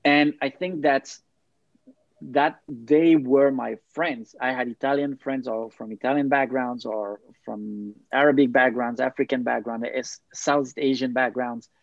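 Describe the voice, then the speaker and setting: A man's voice: medium pitch, fairly even intonation, unhurried, creaky, dry recording, dull audio. A man from France, a guest sharing personal history thoughtfully, hesitating with fillers and restarts. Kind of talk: casual personal storytelling in interview.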